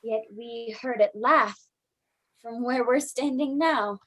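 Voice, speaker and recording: An actress playing a frightened, earnest young daughter in an old-time radio horror drama, with melodramatic delivery. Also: female voice, fairly high pitch, slow, fairly bright tone, poor audio. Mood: anxious.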